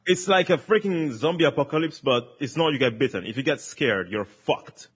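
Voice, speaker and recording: A man chatting excitedly about a video game on an online call, swearing casually and saying "like" a lot. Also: a little high, moderate pace, breathy edge.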